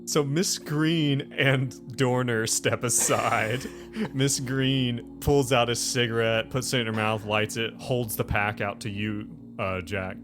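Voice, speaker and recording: A man's voice: medium pitch, fairly even intonation, slow pace, music in the background. A man as game master, improvising casual narration of a scene at a tabletop game.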